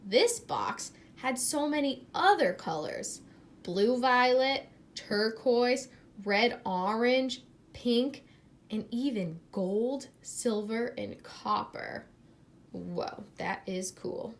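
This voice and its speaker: A woman reads a children's story aloud at a slow, deliberate pace. Her fairly high voice moves up and down with lively expression, and she speaks to young listeners like a teacher. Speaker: storyteller reading a picture book aloud. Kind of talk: expressive children's read-aloud with asides and questions.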